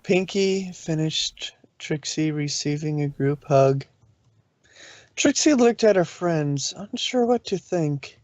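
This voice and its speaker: A man's voice: a little high, slow pace, darkish tone, no echo. A man narrating fan fiction from a script, on a dull, lo-fi recording.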